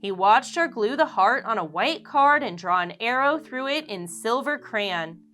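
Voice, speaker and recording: A woman, a children's teacher, reading a picture book aloud in an animated, expressive storytelling manner. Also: fairly high pitch, unhurried.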